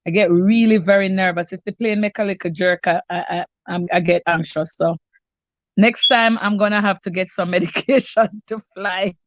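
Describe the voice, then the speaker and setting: A woman's voice: mid-range voice, fairly even intonation, normal speed, no room sound, lo-fi sound. A middle-aged Jamaican woman hosting a cooking live stream, chatting casually with viewers by name. She sounds stuffy and congested and often restarts her sentences. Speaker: cooking channel host.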